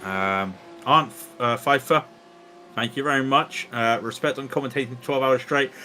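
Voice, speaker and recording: A man's voice: mid-range voice, moderate pace, pushed and bright, slight background noise. A man doing live commentary on an online endurance race, chatty and joking between updates, his throat dry after hours on air. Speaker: sim racing commentator.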